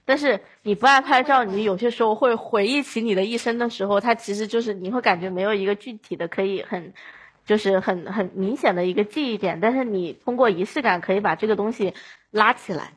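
A woman from Chongqing, China, chatting casually as a podcast guest in an animated storytelling way. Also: mid-range voice, fairly quick, slightly rough.

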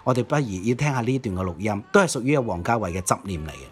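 A man's voice: on the low side, very animated, fast talker. A man with a Cantonese accent co-hosting a music show, chatting casually and often checking in with questions. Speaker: co-host of a Cantonese pop history show. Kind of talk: casual conversational commentary on films and old songs.

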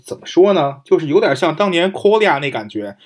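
A man with a somewhat high voice speaks quickly in a casual podcast discussion. He often doubles up words while working out each point as a co-host. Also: very animated. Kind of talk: casual discussion of audio gear.

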